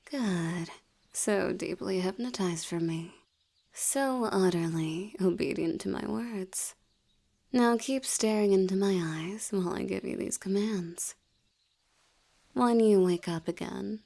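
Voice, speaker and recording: A woman voicing a seductive, commanding vampire hypnotist in an intimate audio roleplay, soft and breathy, often near a whisper, with a teasing, coaxing manner. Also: medium pitch. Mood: hypnotic, commanding. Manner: slow, coaxing, teasingly dominant.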